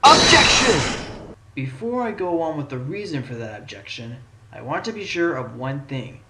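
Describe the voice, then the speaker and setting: A man's voice: a little high, sing-song, normal speed, echoey room, loud music behind the voice, poor audio. A man playing a determined, sly fox defense attorney in a fan audio drama, speaking emphatically and theatrically. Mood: forceful. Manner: theatrical, emphatic, bursts into objections.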